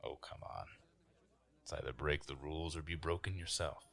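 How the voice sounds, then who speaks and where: A man voicing a towering goliath warrior in a tabletop game, blunt, unhurried and calmly menacing, with a deep gravelly voice. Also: heavily acted. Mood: challenging.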